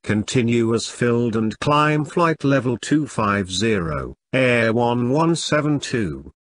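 Male voice for pilot phraseology lesson, mid-pitched, unhurried, formulaic delivery. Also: animated, no echo, studio quality. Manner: measured, formulaic. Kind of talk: scripted radio phraseology readback.

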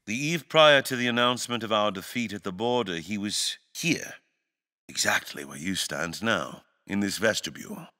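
A man performs an imperious fantasy monarch for an audio drama. The voice is deep and bright-edged, with brooding weight. The diction is formal and theatrical, each phrase placed deliberately. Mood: haunted, intense. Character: grave, imperious fantasy king, eloquent and brooding.

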